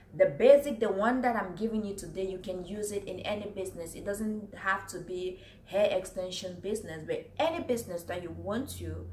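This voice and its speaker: A woman's voice: medium pitch, a little mellow. A woman from Cameroon, giving business tips to camera in an earnest way, repeating her points and working from notes. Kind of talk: casual talk to camera. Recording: roomy echo, faint background noise.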